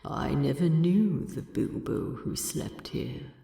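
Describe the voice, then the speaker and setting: A brooding male dwarf from a fantasy audiobook, acted by a woman, speaking low with heavy creak. He is slow, solemn and quietly tender, with a mellow, dark tone. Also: roomy sound, full character voice. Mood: wistful.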